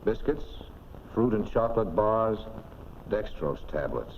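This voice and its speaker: Low-voiced 1940s training film narrator. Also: male voice, lively intonation, measured pace. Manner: folksy, clipped, instructive. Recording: dull, noisy 1940s film soundtrack.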